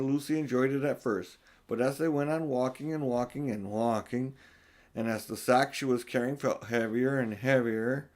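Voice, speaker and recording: A man's voice: mid-range voice, darkish tone. An older man, a grandfather, reading a children's story aloud in an informal, homely style.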